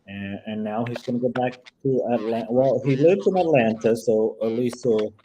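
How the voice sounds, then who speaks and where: A man's voice: medium pitch, moderate pace, warm tone, highs cut off, slight background noise. A man hosting a casual panel chat who rambles along with lots of ums and restarts. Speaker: host.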